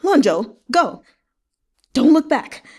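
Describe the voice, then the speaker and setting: Woman acting a proud old male scholar, impassioned, high-pitched. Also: sing-song, slow pace, darkish tone, breathy edge, heavily acted. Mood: fervent, anguished. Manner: haughty, impassioned declaiming. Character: proud, furious old male scholar and teacher, ranting defiantly. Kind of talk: dramatic read-aloud of a historical novel.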